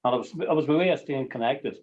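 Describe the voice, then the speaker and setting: Halting Northern Irish man, muffled call audio. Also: mid-range voice, darkish tone. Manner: halting, repeating words, tagging phrases with everyday sayings. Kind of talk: informal personal sharing on a group call.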